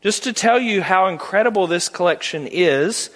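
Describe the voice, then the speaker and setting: A man's voice: high-pitched, animated, moderate pace. A man in his thirties with a Southern accent, a guest preacher giving a sermon in a folksy, conversational way that builds to emphatic points. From Tennessee. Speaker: guest preacher, Bible department head. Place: church sanctuary. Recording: long hall reverb.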